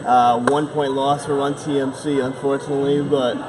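A man's voice: medium pitch, level tone, normal speed. A young man giving casual postgame commentary as a panelist on a basketball show. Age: young adult. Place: large indoor basketball gym. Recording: distant mic in noisy gym.

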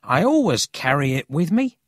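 A cheeky, brash city boy from a storybook, played by an adult reader. His voice is pitched high and swoops about with eager, teasing energy, recorded dry and close. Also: big pitch swings, moderate pace, dry recording, close to an everyday voice. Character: cheeky, excitable, friendly city boy with a horn.

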